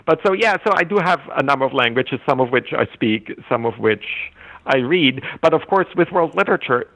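A man whose first language is German, a literature scholar giving thoughtful, halting interview answers with frequent restarts. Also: mid-range voice, lively intonation, fairly bright tone, poor audio. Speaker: literature scholar and anthology editor.

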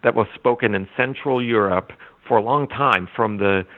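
A man whose first language is German, a literature scholar giving thoughtful, halting interview answers with frequent restarts. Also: lowish voice, narrow, tinny audio. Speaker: literature scholar and anthology editor.

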